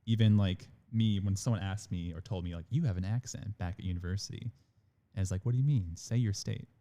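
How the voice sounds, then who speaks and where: A man with a deep, warm voice talks as a guest on a college podcast. He rambles casually, stacking fillers as he thinks aloud. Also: a little fast. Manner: rambling, many "like" and "again" fillers. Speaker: international admissions manager, guest. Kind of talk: conversational podcast interview.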